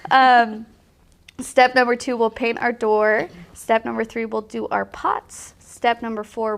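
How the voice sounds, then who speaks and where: A woman hosts a live painting tutorial in a casual, chatty way, with plenty of fillers and laughter. She has a slight cold. Her voice sits a bit high and carries a gravelly fry. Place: art studio.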